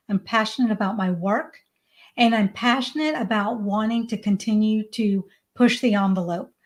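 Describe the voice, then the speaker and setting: A woman in her forties whose first language is Hindi, a parenting coach talking in an earnest, emphatic way as a guest on a video-call podcast. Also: medium pitch. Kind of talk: casual podcast interview.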